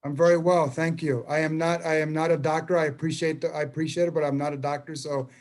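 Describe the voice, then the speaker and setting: A man in his fifties, a school superintendent, addressing a public meeting by video call in a halting way with many repeated words and restarts. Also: fairly high pitch, little pitch movement, a little mellow.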